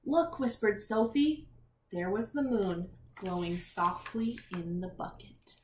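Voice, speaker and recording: A woman's voice: mid-range voice, expressive, slow pace, darkish tone. A woman teacher reading a children's picture book aloud, expressively, with encouraging asides and questions to young listeners. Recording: echoey room with faint noise.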